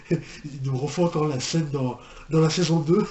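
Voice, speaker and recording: Higher-voiced Frenchman rambling in an echoey room. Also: measured pace. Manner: hesitant, rambling, repeats phrases. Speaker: reaction video host. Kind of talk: casual spoken reaction commentary. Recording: echoey room with background noise.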